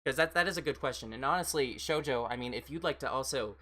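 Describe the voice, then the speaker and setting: American woman, fairly deep voice, very quick pace, bright tone, rambling casual livestream host, reassuring. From United States. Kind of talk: casual livestream chat, replying to viewers.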